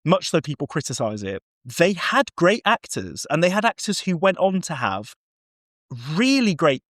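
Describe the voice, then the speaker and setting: A man's voice: on the high side, sing-song, normal speed, a bit bright, no room sound, clean studio sound. A man co-hosting a podcast, discussing audio drama in a casual, discursive and enthusiastic way.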